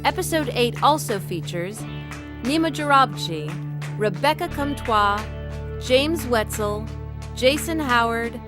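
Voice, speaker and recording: A woman's voice: a little high, expressive, slow. A woman announcer giving a scripted read of audio drama credits. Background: music.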